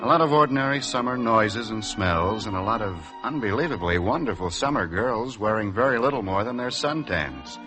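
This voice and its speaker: A man's voice: lowish voice, lively intonation, a little fast, hardly any pauses, music in the background, close to an everyday voice, poor audio. An actor playing a wistful young advertising man with a North American accent in a 1950s radio drama. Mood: wistful, reflective.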